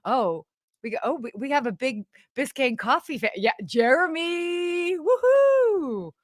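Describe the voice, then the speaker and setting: A woman co-hosting a podcast in casual live chat, with an animated, giggly, exclamatory way of talking. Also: high voice, measured pace, no room sound, studio quality. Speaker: podcast co-host.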